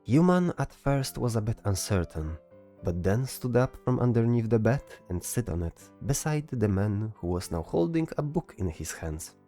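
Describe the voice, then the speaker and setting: A man's voice: fairly low pitch, very animated, moderate pace, warm tone. A man reading scripted narration for a fantasy story as its narrator. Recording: music behind the voice. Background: music.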